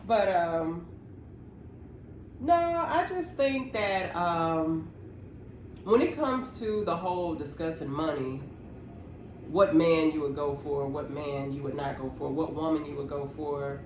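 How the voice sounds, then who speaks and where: A woman, earnestly explaining her view in casual podcast talk. The voice rings in an echoey room, with clear noise behind it. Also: low-pitched, slow, darkish tone. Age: 30s. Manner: earnest, reasoning through her point. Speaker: podcast co-host.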